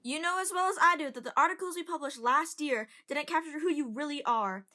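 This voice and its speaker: A woman voicing a nervous, persistent young journalist in an amateur audio drama, talking in a hesitant, halting way. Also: high-pitched, bright, forceful tone.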